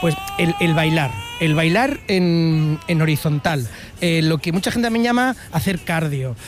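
Playful high-voiced male radio host. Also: unhurried. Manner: playful and teasing, with many fillers. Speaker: radio host doing street interviews. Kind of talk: roving street interview. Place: outdoors on a city street. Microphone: mobile radio unit.

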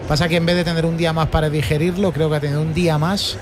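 A man's voice: a little high, little pitch movement, racing. A Spanish man with a Castilian accent hosting live football talk on a sports programme. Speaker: host of a sports radio programme. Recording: clear background stadium and street noise.

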